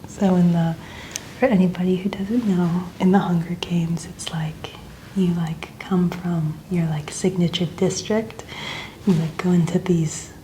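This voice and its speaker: A woman speaking in a soft, breathy half-whisper, gently and casually explaining as an ASMR makeup artist at work. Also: fairly low pitch, steady tone, a little slow, a little hiss or hum, low-quality recording.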